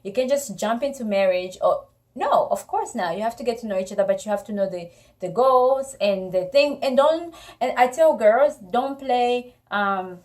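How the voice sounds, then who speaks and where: A woman's voice: on the high side, expressive, normal speed, darkish tone. A young adult woman from Congo, co-hosting a casual couple chat, outspoken, firm and sassy. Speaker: co-host.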